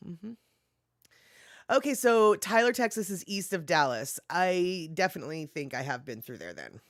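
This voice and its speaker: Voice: mid-range voice, big pitch swings, moderate pace, a bit bright, no room sound, studio quality. A livestream host chatting casually and reading viewer comments aloud, laughing often and musing while scrolling. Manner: frequent fillers like "let's see here".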